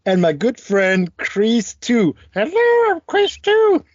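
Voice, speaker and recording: A man's voice: very high voice, huge pitch swings, slow pace, dry recording, muffled recording. A man co-hosting a podcast, chatting casually in a dry, teasing way. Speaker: podcast co-host. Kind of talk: casual chat.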